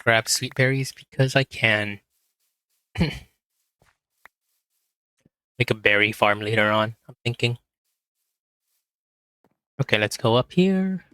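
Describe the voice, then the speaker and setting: A man with a hoarse voice, quietly talking himself through a game as he plays, in a relaxed, slow, muttering way. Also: medium pitch, dry recording, rough recording. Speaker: gaming video host. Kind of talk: casual gameplay commentary.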